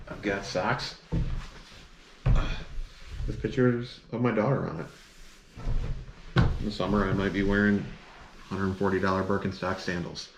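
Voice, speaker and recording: Deep-voiced man rambling casually. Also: background noise. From northern United States. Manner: rambling, many filler words. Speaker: vlogger. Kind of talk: casual chat to camera. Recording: echoey room.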